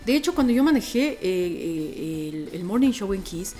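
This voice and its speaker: An Ecuadorian woman with a deep, strong voice talks like a radio presenter in a relaxed podcast chat. She tells things colloquially and with animation. Music plays behind her. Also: moderate pace. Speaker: radio presenter being interviewed.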